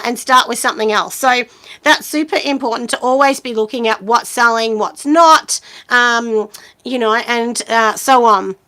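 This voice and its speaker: A woman in her forties with a husky voice, hosting a relaxed live stream from home. Her voice sits high and has a bright, forward edge. She chats encouragingly and casually, scattering ums and you-knows through her advice. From Australia. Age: 40s. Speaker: small business owner hosting a live chat.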